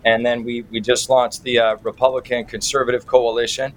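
A man's voice: medium pitch, little pitch movement, fairly quick, fairly bright tone. A middle-aged American man answering interview questions as an activist guest, with an irreverent, colloquial manner and folksy turns of phrase. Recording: clearly noisy background.

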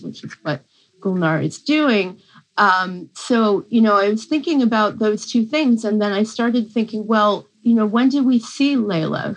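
A woman's voice: lowish voice, breathy edge, low-quality recording. A woman literature professor speaking as an interview guest, thinking aloud with frequent hesitations and restarts. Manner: thoughtful, halting, many fillers and restarts. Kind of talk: interview talk.

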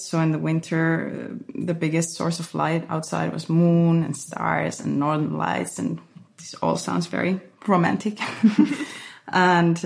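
A woman from Finland, a choreographer, answering interview questions in a thoughtful, halting way with many restarts. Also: on the low side, vocal fry, darkish tone. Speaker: guest choreographer. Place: Berlin.

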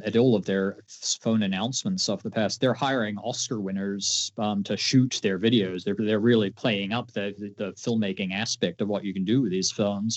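A man's voice: lowish voice, darkish tone. A man as a guest in an interview, thinking aloud with many ums. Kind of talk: conversational interview. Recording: lo-fi, muffled audio.

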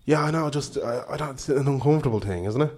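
A man's voice: mid-range voice, fast, darkish tone. A man with a cold and a stuffy, nasal voice, hosting a casual podcast chat. He talks chattily, with restarts and frequent laughs. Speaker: podcast host.

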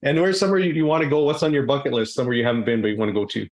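A man hosting a podcast, asking quick friendly questions and agreeing with quick interjections. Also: mid-range voice, fairly even intonation, darkish tone. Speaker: podcast host. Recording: echoey room.

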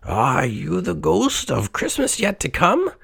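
Man putting on a high-pitched, theatrical old miser. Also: huge pitch swings, takes it slowly, gravelly, a bit bright, husky, no echo, studio quality, heavily acted. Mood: fearful. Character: wicked old miser, frightened, pleading, repentant.

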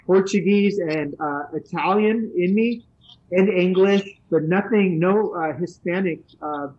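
A man's voice: sits high, measured pace, breathy edge, highs cut off. A middle-aged man, a Spanish teacher, answering interview questions casually, rambling with many fillers and restarts. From San Francisco area.

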